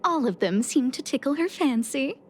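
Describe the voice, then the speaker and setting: Polite, high-voiced young station staffer. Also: female voice, lively intonation, normal speed. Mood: admiring. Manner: polite, eager, helpful. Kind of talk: scripted video game voice acting. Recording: game dialogue with music behind.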